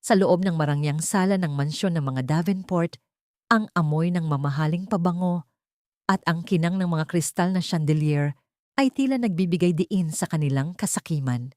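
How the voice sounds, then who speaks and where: A woman's voice: lowish voice, normal speed, warm tone, no room sound, clean studio sound. A woman narrating a scripted audio story in a dramatic style.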